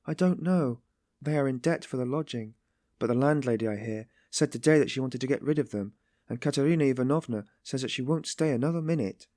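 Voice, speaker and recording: A man's voice: medium pitch, fairly quick, dark tone, no room sound, studio quality, voice pushed up, lightly acted. A man voicing an anguished, meek but passionately compassionate young woman, pleading in breathless exclamations and repetitions for an audiobook story. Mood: mournful.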